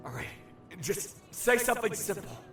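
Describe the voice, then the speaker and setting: A man's voice: high-pitched, big pitch swings, slow, fairly bright tone, soft background music, exaggerated voice. Man playing an anxious young shapeshifter, roomy reverb. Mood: panicked. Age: young adult. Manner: fretful, muttering to himself. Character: anxious young shapeshifter from another realm, overwhelmed. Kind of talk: inner monologue in audio drama.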